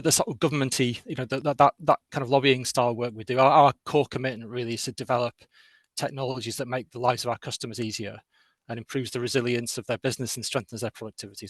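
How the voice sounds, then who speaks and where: Fast-talking man on a webinar call. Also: mid-range voice, a bit bright, no room sound. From not from the Northeast of England. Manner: fast, with frequent restarts and fillers. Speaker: guest speaker. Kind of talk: webinar talk. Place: office.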